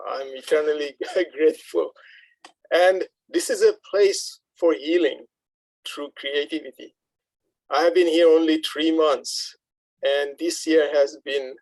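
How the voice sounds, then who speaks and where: Heartfelt guy, kinda high voice, halting and slow, sharing his story. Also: a little mellow, dry recording, low-quality recording. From outside the United States. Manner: halting, earnest. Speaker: workshop participant. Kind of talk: heartfelt testimonial. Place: online video call.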